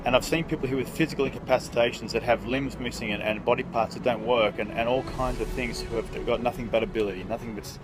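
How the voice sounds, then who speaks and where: A man's voice: mid-range voice, steady tone, quick pace, few pauses, background noise. A man hosting a motivational interview, talking with energy and often restarting his phrases. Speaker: interviewer and host. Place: outdoors at a conference venue.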